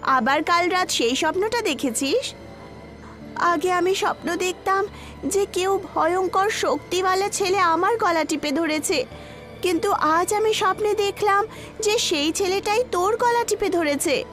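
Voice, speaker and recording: Woman acting an anxious, very high-voiced college girl. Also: unhurried, fairly bright tone, faint music behind. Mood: uneasy. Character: anxious frightened young college women. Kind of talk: horror cartoon dialogue.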